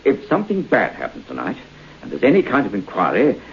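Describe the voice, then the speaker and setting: An actor playing an anxious, stubborn priest in an old-time radio drama, with a husky, gravelly voice and a formal, moralizing manner. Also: male voice, mid-range voice, huge pitch swings, fairly quick, slight background noise. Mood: alarmed, warning. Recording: muffled old radio broadcast.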